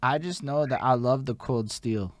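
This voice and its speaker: A man's voice: medium pitch, moderate pace, no room sound. A man co-hosting a podcast, talking in a rambling, hesitant way with lots of fillers. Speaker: podcast co-host. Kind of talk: casual interview chat.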